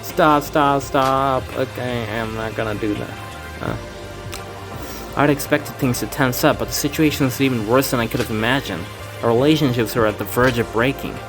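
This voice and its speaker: A man's voice: medium pitch, normal speed, music in the background. A man reading a fan game aloud, voicing its characters with casual asides and stumbles. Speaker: let's play fan dubber. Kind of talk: casual game playthrough with voiced dialogue.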